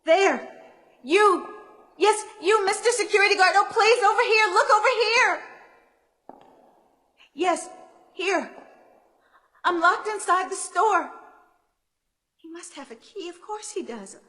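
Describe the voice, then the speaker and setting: An actress playing a frightened woman shopper trapped in a closed store, halting, pleading and repeating herself in a radio drama. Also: very high voice, animated, sharp tone, full character voice. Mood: anxious, pleading. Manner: breathless, pleading, halting and repetitive. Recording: background music under the voice.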